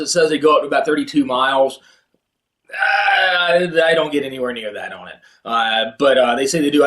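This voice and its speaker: A man's voice: mid-range voice, fairly bright tone, roomy sound. A man hosting an instructional video, talking in a casual, plain-spoken, practical way about gear. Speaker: video host, kayak fishing presenter. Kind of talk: casual instructional product talk.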